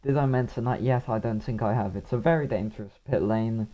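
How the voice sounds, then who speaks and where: A young male commentator calling a live online motor race in a hoarse, worn-out voice that has almost given out. His pitch sits in an ordinary middle range, and he rambles on and thinks aloud between bursts of action. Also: brisk, dark tone, muffled recording. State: hoarse, voice nearly gone. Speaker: sim racing commentator.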